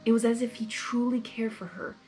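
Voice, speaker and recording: A woman's voice: on the high side, fairly even intonation, fairly quick, mellow, soft background music. A woman reading a story aloud expressively, with informal, reactive asides. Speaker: story reader. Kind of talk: expressive fan fiction read-aloud with chatty asides.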